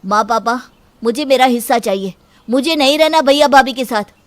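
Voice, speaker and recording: A woman's voice: sits high, moderate pace, natural voice. A woman voicing a proud, indignant young married man in a family story. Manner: indignant, firm.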